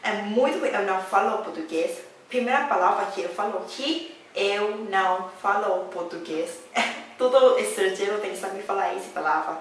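A woman's voice: medium pitch, normal speed. A young woman with a Thai accent chats to the camera, laughing often as she tells her stories. She sounds distant from the mic in a strongly echoey room. A faint hiss runs underneath. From Thailand. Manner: chatty, laughing often, broken Portuguese. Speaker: vlogger. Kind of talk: casual storytelling to camera.

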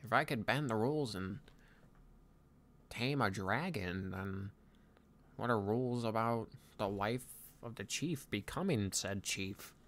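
A man playing a tender, wistful young Viking dragon trainer in a soft-spoken ASMR boyfriend roleplay, speaking intimately and haltingly. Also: lowish voice. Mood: musing. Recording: clear background ambient noise.

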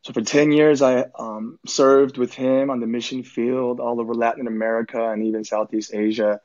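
Young minister, late twenties man, earnest and easygoing, mid pitch, slightly muffled call sound. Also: flat delivery, normal speed, a little mellow. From Korea. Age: 20s. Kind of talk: casual conversation.

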